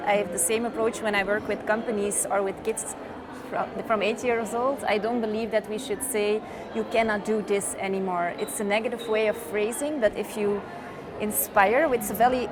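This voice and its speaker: A woman's voice: medium pitch, fairly even intonation, moderate pace. A woman whose first language is Dutch, answering interview questions as a sustainability consultant in an earnest, upbeat, step-by-step way. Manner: earnest, positive, explains step by step. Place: trade fair. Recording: busy crowd noise behind.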